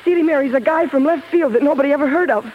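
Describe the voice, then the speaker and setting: Actress as high-voiced, brassy, emotional star wife. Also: female voice, rapid-fire, lightly acted. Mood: hurt indignation. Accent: American. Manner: emotional, slangy. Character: spirited, wounded star wife, brassy and pleading. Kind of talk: 1950s radio drama acting. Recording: muffled, hissy 1950s radio broadcast.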